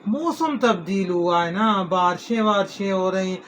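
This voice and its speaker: A man's voice: high voice, moderate pace, a bit bright, slight background noise. A middle-aged man, hosting a home cooking video in a folksy, rambling way, full of homely advice and blessings. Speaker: cooking channel host. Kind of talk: casual home cooking vlog.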